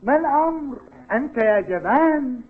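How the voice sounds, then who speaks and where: A man's voice: very high-pitched, lively intonation, normal speed. A man voicing a cocky, mocking school footballer who challenges his rivals in an Arabic cartoon dub. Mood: taunting. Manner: mocking, provocative. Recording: tinny, phone-like old TV dub audio.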